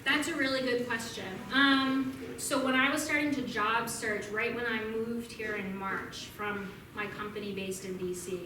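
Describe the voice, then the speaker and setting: A woman's voice: on the high side, fairly even intonation, moderate pace, few pauses. A young woman from Vermont giving a casual talk and answering questions, chatty and upbeat, with frequent ums. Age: young adult. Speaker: guest speaker at a club meeting. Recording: echoey room from a distance, noisy.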